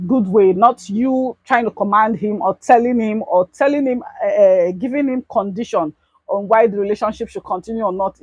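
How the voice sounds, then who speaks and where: A woman's voice: mid-range voice, mellow, breathy edge, no room sound, poor audio. A young Nigerian woman talking casually to her viewers in an advice vlog. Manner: chatty, addresses viewers as bestie. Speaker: YouTube vlogger.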